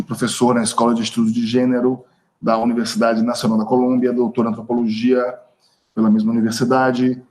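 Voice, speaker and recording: Man, academic moderator, flat delivery, halting with fillers, video call. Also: mid-range voice. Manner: halting, many fillers and self-corrections. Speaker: online seminar moderator. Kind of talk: formal academic introduction.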